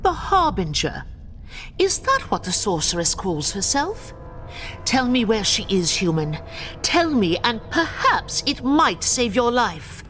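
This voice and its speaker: A woman's voice: on the high side, big pitch swings, slow, a bit bright, faint music behind, full character voice. An actress playing a resolute young female elf warrior in a fantasy video game, speaking in a solemn, formal way. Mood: demanding.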